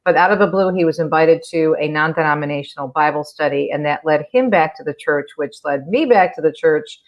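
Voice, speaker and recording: Middle-aged woman guest, very low voice, earnest storyteller. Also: fairly quick, muffled recording. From New Jersey. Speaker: guest, radio host. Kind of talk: casual interview chat.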